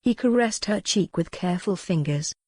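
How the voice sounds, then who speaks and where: A woman's voice: lowish voice, animated, moderate pace, a little mellow, husky, dry recording. A woman reading historical fiction as a scripted, dramatic audiobook narration.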